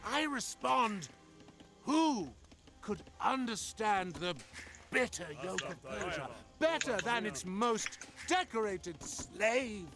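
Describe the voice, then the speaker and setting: An actor playing a defiant Greek rebel leader in a video game, speaking in grandiose, rousing oratory. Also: male voice, high-pitched, very animated, takes it slowly, exaggerated voice. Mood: proud oratory. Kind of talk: theatrical video game voice acting. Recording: loud music behind the voice. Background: loud music.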